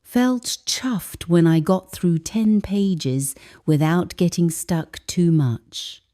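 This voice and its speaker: A woman, an English teacher, calmly reading a first-person story aloud as scripted narration. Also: lowish voice, slow pace.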